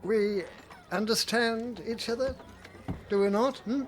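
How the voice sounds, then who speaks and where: An actor playing a duke's loyal major-domo in a period audio drama, courtly, deliberate and insinuating. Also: male voice, sits high, fairly even intonation, a little hiss or hum. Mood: insinuating, knowing.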